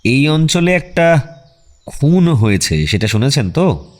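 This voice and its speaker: A man playing a composed, detached private detective who talks in a measured way in an audio drama. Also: medium pitch, sing-song. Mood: polite questioning. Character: calm, methodical male private detective. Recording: faint music behind the voice.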